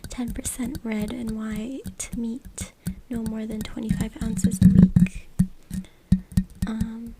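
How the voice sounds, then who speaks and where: A woman reading aloud in a breathy, unvoiced ASMR whisper with informal, hushed asides. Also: fairly high pitch, steady tone, takes it slowly, over loud music. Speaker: ASMR creator. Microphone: close USB microphone. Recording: noisy USB mic with clicks, tapping. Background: tapping, scratching, clicks.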